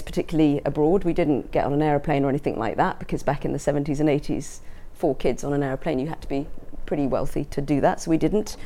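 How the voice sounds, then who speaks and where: A woman's voice: sits low, warm tone. A middle-aged woman with a southern English accent, giving an informal, reflective personal talk to an audience in a conversational way. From England.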